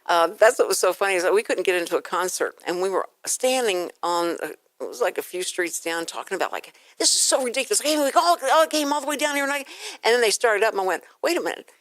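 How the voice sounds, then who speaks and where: A woman's voice: mid-range voice, expressive, quick pace, a bit bright, no echo. A woman with an American accent hosting a chatty radio interview, rambling informally and acting out quotes as she tells stories. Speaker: radio show host.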